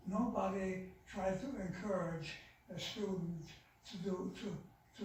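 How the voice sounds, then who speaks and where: A man in his nineties from Austria gives a slow, halting personal testimony with many pauses and restarts. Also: a little mellow, slight background noise. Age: 90s. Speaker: Holocaust survivor speaker. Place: synagogue sanctuary. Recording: low-level, distant, very roomy recording.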